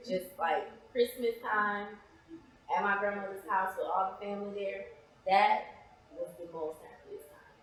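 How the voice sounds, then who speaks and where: A woman's voice: medium pitch, steady tone, a little slow. A woman speaking casually as an interview guest, reflective and a little hesitant, repeating phrases for emphasis. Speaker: interview guest, playwright and actress. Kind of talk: casual personal conversation. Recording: very echoey, reverberant room.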